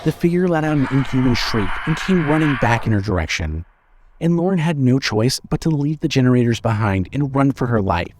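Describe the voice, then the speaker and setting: A man narrating a scripted suspense story in a measured, dramatic storytelling manner. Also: medium pitch, very animated, a little mellow, a little hiss or hum.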